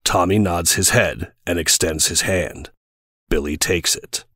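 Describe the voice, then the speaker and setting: A man narrates an audio drama from a script. His voice is low and rough-edged, recorded close and dry. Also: a little slow, bright, forceful tone, no room sound.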